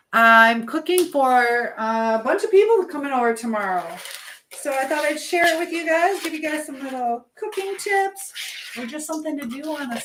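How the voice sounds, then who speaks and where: A woman hosting a casual live stream, talking in a chatty, affectionate way with viewers and reading their comments aloud. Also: sits high. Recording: clear background noise with clicking sounds.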